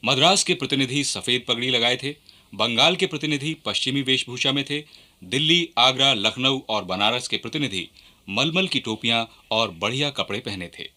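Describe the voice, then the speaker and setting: A man narrates a scripted radio drama. His voice is sharp and forceful, sitting at a medium pitch. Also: lively intonation, moderate pace.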